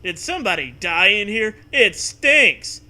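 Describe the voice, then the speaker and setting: A man voicing a cocky, mocking teenage boy in a comic story read-aloud, sneering and exclaiming loudly. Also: high-pitched, sing-song, measured pace, voice pushed up, full character voice. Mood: disgusted, mocking.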